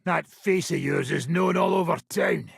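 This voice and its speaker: A man voicing a gruff, sardonic middle-aged mercenary bandit in a video game, with a raspy, gravelly voice and a blunt, coarse, mocking manner. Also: on the high side, normal speed, no room sound, studio quality, heavily acted. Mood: sardonic, scheming.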